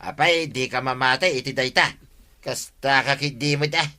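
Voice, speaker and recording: A man with an Ilocano Filipino accent acting an excitable, insistent, gossipy villager in a comedy radio drama. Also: mid-range voice, normal speed.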